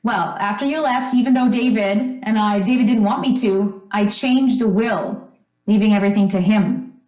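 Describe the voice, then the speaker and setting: A woman's voice: medium pitch, moderate pace, a little mellow, natural voice. A woman playing a doting, trusting, well-off mother in an old-time radio drama, speaking in a fond, fussing, chatty way. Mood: earnest, confiding. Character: doting, trusting, wealthy mother of a teenage son. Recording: roomy, echoing stage-like sound.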